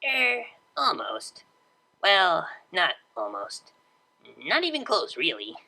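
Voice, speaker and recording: A woman's voice: on the low side, huge pitch swings, slow pace, creaky, sharp tone, heavily acted. A woman voicing a brash, laid-back young unicorn mare DJ in a pony story, with a casual, slangy, offhand manner. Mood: awkward.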